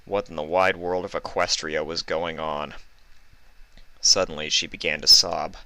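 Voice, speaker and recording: A man narrates a written story in a low-pitched, bright voice. The recording is close and dry. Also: level tone, a little slow, no echo. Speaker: fan fiction reader.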